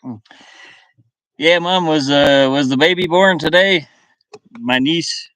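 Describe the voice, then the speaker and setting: A man's voice: fairly high pitch, slow pace, a bit bright, no echo, poor audio. A middle-aged man chatting casually on a livestream, rambling and muttering to himself in short, broken phrases. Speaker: livestream host. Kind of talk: casual live chat with viewers. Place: inside a van. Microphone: webcam.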